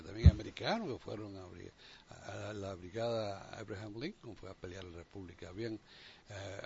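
Low gravelly Cuban man in conversation. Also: highs cut off, slight background noise. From Cuba. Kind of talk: casual unscripted discussion.

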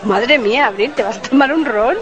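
A woman's voice: fairly high pitch, fairly quick, background noise. Spanish woman chatting amid busy crowd. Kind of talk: casual chat over drinks.

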